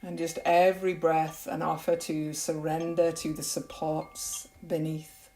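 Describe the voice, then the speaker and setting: A woman yoga nidra teacher leading a guided meditation in a gentle, unhurried, soothing way with long pauses. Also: deep voice, little pitch movement, a little hiss or hum, rough recording.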